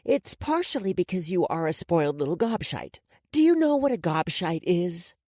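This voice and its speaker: A woman reader plays a menacing woman in charge from an audiobook. Her voice is low and very animated, mocking and commanding, recorded close and dry. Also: normal speed, no room sound. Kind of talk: audiobook character dialogue.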